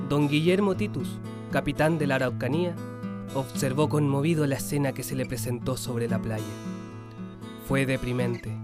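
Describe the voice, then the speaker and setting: An actor playing a grieving letter writer in a radio drama, reading in a solemn, measured, earnest way. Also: male voice, mid-range voice, lightly acted. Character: grieving male letter writer, sombre witness to tragedy. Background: plucked guitar music.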